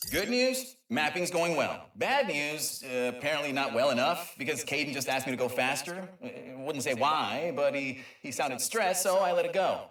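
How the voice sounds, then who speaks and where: A man's voice: a little high, lively intonation, normal speed, few pauses, fairly bright tone, echoey room, distant mic. A man voicing an eager, nerdy, kind creature inventor who rambles and stammers through an informal video game audio log. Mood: uneasy, wry. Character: eager, nerdy, kind male Lombax inventor and dimension mapper.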